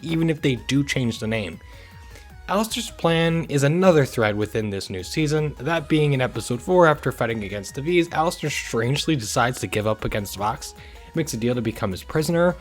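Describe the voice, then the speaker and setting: A man giving casual, opinionated commentary in the style of a scripted online video essay. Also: fairly high pitch. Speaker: YouTube reviewer.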